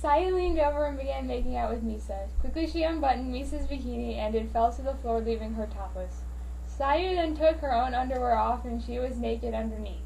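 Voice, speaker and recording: A woman's voice: fairly high pitch, fairly quick, creaky, warm tone. A woman giving an amateur dramatic reading of a story in a theatrical, mock-serious manner. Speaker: amateur reader. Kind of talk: dramatic reading of fan fiction. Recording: noisy, distant home microphone.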